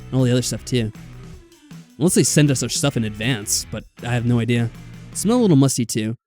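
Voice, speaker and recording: A man's voice: mid-range voice, animated, fast talker, darkish tone. A man reading a visual novel aloud as a let's player, dropping in wry, exasperated casual asides. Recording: background music.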